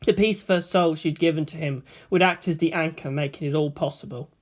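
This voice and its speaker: A woman reading a story aloud as narrator, in an expressive, dramatic style. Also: deep voice, brisk.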